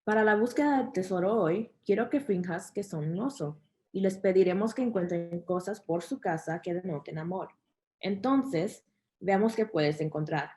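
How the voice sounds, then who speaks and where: Woman, lowish pitch, mellow dark tone, interpreter, addressing families and children. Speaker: Spanish interpreter for children's program.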